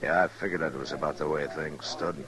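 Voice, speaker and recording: A steady western lawman with an extremely deep voice. He speaks in few, measured words with calm authority. It sounds like an old radio drama, with a busy crowd behind him. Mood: calm, firm. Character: steady, laconic frontier town marshal.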